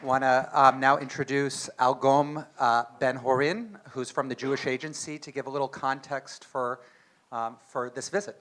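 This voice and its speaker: A man's voice: mid-range voice, normal speed, fairly bright tone, a little hiss or hum. A man hosting an event, thanking a guest and formally introducing the next speaker. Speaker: event host.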